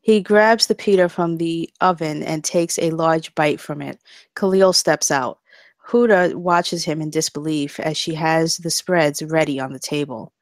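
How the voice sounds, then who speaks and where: A woman's voice: low voice, gravelly, no room sound, studio quality. A woman narrating screenplay stage directions at a table read, in a scripted style.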